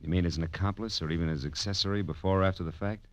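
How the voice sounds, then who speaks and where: A man's voice: low-pitched, steady tone, very fast, dry recording, muffled recording, lightly acted. A man acting a cynical, tough private detective in 1940s radio noir style, with clipped, sardonic delivery. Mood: dry, probing. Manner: clipped, sardonic, hard-boiled.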